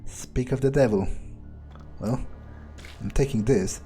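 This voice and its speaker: A man role-plays a wary vampire thinking aloud through a video game. His mellow voice moves slowly and hesitantly, musing over what he finds, with faint music behind. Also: medium pitch, natural voice. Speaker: gamer playing in character. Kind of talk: in-character game playthrough commentary, thinking aloud.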